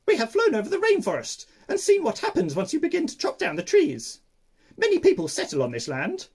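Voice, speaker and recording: A storyteller voicing a toucan with a loud, squawking delivery. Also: high-pitched, huge pitch swings, fairly quick, pitched above the performer's own voice. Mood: alarmed.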